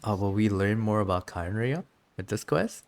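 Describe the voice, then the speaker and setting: A streamer gives casual commentary on a story game. The voice has a strong creak and sits at a moderate pitch. The delivery is informal, giggly and emotionally invested, with tender reactions. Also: lively intonation, moderate pace.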